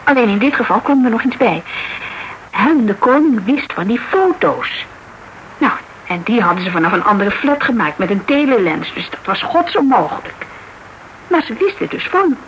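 A high-voiced young Dutch woman in a radio crime drama. She is shrewd and talkative, with very animated intonation and colloquial emphasis. The sound is slightly dull, like an old broadcast. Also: normal speed, slight background noise. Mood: animated recounting. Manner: colloquial, emphatic, thinking aloud. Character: lively young woman, shrewd, talkative associate of a private detective.

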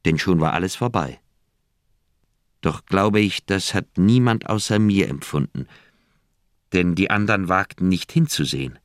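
Deep, creaky troubled older doctor. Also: male voice, expressive, no echo, studio quality. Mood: uneasy, reflective. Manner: deliberate, reflective storytelling with dramatic pauses. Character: troubled older male Swiss surgeon, reflective and uneasy. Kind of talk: German radio crime drama acting.

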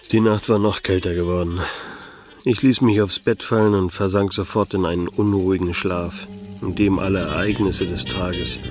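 Low-voiced, laconic noir detective. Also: male voice. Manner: laconic, sceptical, deadpan. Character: dry, disillusioned, stubborn male private detective narrator. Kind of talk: radio drama acting with noir narration.

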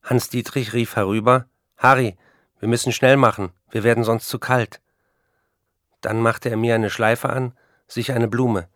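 A man playing a tormented, intense writer who confesses and narrates in measured, intimate speech in a radio play. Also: mid-range voice, steady tone, fairly bright tone, no room sound, studio quality, natural voice. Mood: haunted recollection.

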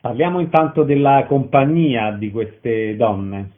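A man's voice: medium pitch, lively intonation, slow. A man conducts a radio interview, putting questions to a guest. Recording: narrow phone-like radio sound.

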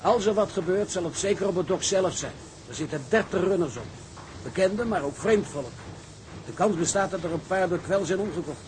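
A man's voice: sits high, brisk, a little mellow, a little hiss or hum, natural voice, rough recording. Ernstige man met hoge stem, een acteur. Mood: serious. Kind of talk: Dutch period drama acting.

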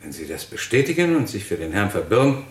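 An actor playing a courteous but sceptical male police inspector in a radio crime drama, speaking in a formal, deliberate, politely probing manner. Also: lowish voice, sing-song.

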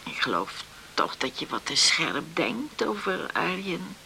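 An actress in a radio play playing a gentle middle-aged mother and wife, speaking in a soft, tender, pleading way. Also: on the low side, sing-song, measured pace, vocal fry, full character voice. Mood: pleading, worried. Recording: hissy old radio recording.

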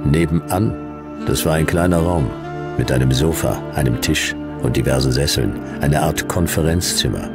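Very deep, gravelly deadpan detective. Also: male voice, normal speed. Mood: wry, deadpan. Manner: dry, clipped, deadpan delivery. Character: laconic, wry hard-boiled male detective narrating his case. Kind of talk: German noir sci-fi radio drama narration and dialogue. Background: music.

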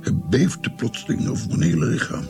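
An actor playing a shaken old grandfather who recounts a haunting memory in a dramatic, halting radio play narration. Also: lowish voice, sing-song, over music, full character voice. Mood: anguished, bleak. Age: older. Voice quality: husky, gravelly.

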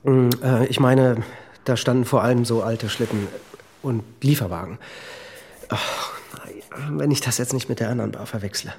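An actor playing an anxious, worn-out husband with a drinking habit, answering questions in a halting, defensive way in a radio drama. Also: male voice, mid-range voice, a little mellow, a little hiss or hum, natural voice. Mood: halting, weary. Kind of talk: naturalistic radio drama acting.

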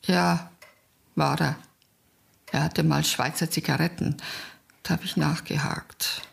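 An actress playing a weary, indifferent aunt in a radio crime drama, blunt, dry and resigned. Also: female voice, low voice, fairly even intonation, slow pace, creaky, exaggerated voice. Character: weary, indifferent, plain-spoken aunt of delinquent boy.

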